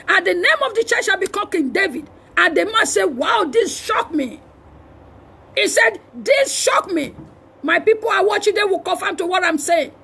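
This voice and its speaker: A woman with a Nigerian accent preaching a fiery charismatic sermon, forcefully exhorting and often shouting, with emphatic repeated phrases. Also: very high-pitched, brisk, gravelly, hardly any pauses. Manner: shouting delivery. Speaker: preacher and prophet.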